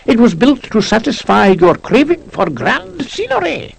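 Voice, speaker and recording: A man voicing a squeaky, pompous imperial herald making slow ceremonial proclamations in a story, on an old cassette. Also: sing-song, vocal fry, slightly rough, exaggerated voice. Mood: grandly ceremonial. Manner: slow, swooping, declamatory. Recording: low-quality transfer from old audiocassette.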